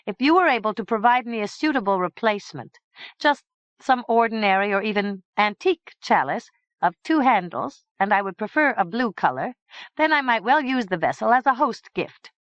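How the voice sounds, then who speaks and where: A fastidious old knight states his wishes slowly and particularly, as a woman reader puts him on. His voice rises and falls in a lively way. The recording is dull and dry. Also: a little high, no room sound, pitched above the performer's own voice, close to an everyday voice. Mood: fussy. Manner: fussy, slow, particular.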